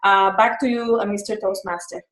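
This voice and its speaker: A woman explaining her timer role at an online meeting, halting with many fillers. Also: medium pitch, steady tone, a bit bright, echoey room, low-quality recording. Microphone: video call.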